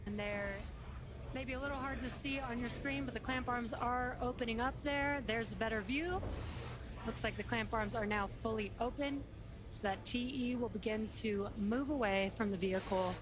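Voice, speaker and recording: A woman with a slightly high voice gives live commentary. She explains things conversationally as a co-presenter, with music clearly audible behind her. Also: moderate pace, low-quality recording. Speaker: launch broadcast co-commentator.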